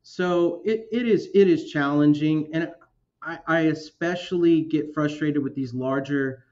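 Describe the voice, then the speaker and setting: A man speaking as a guest in a casual chat, earnest and halting. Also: on the high side, a little mellow, highs cut off. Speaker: guest, technology officer.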